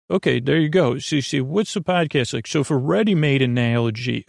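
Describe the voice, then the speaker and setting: A man with a creaky voice hosts a sleep podcast, rambling through a bedtime monologue with halting repetitions and drawn-out words. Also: mid-range voice, dry recording, clean studio sound. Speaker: podcast host. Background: music.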